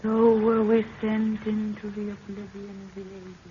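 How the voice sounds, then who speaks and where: A woman's voice: mid-range voice, a little slow, natural voice. An actress playing a sleepwalking young woman in a trance, intoning archaic words solemnly in an old radio drama. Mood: entranced, solemn. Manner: solemn, monotone, archaic incantation. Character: entranced sleepwalking young woman channelling an ancient priestess. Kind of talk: 1930s radio adventure acting. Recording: distant, muffled, hissy 1930s radio recording.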